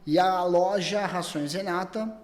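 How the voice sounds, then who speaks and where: Chatty man with a slightly high voice. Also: a little slow, big-room sound. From Brazil. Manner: talks at length, many repeated words and restarts. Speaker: pet store chain owner, guest. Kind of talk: casual podcast conversation.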